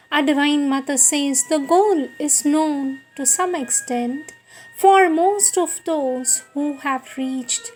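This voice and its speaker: Slow, high-pitched woman reading devotional text. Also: low-quality recording. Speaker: reader of spiritual texts. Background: music.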